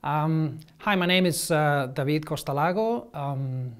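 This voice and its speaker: Male ecologist, 30s, slightly high voice, hesitant interview delivery on a lapel mic. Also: expressive, smooth. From Spain. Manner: halting, many restarts and ums, gestures while explaining. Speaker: marine ecologist. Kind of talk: interview answers to camera. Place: office with shelves, indoors.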